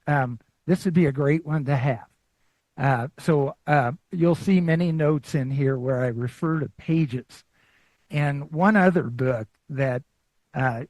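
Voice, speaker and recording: A man giving a public talk with slides, relaxed and rambling, with many fillers and restarts, and joking about himself. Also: medium pitch, slightly rough, no echo, low-quality recording. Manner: self-deprecating. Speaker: guest lecturer.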